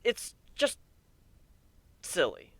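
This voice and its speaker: A man voicing a bashful, gentle male pony husband with a sheepish, hesitant manner in a storybook reading. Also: extremely slow, dry recording. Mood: embarrassed.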